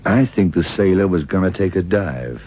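An actor playing a shrewd, methodical detective in an old radio crime drama, gravelly and deliberate, musing as he questions. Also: deep voice, lively intonation. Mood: working it out. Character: shrewd, methodical middle-aged American male detective. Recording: dull vintage radio drama recording.

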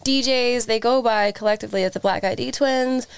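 A woman's voice: on the high side, fairly quick, creaky, smooth, no echo. A woman hosting a podcast in casual chat, enthusiastic, often saying "super" and "like". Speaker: podcast host.

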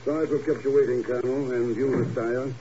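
Male actor as commanding spy, clipped, mellow tone, 1950s radio drama, muffled recording. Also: medium pitch, fairly even intonation, slight background noise, lightly acted. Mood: grave. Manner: clipped, deliberate, assured. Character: cool, commanding male secret agent.

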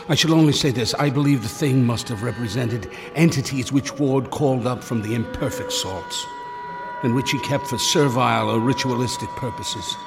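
An actor playing a grave, haunted gentleman investigator, narrating a gothic horror radio drama in formal, theatrical language with halting dramatic pauses. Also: medium pitch, natural voice. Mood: anguished, unhinged. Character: horror-struck gentleman investigator recounting a descent into madness. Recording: music behind the voice. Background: music.

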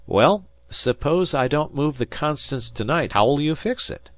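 A man voicing a thoughtful, shrewd and indulgent father in a measured, decisive way for a storybook. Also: a little high, lively intonation, no echo, pitched above the performer's own voice.

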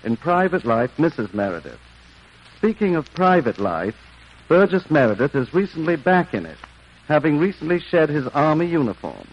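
A man hosting a 1940s radio program with a genteel, lightly witty delivery and a lively, fairly high voice. The sound is a dull, lo-fi old broadcast. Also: moderate pace. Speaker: program host.

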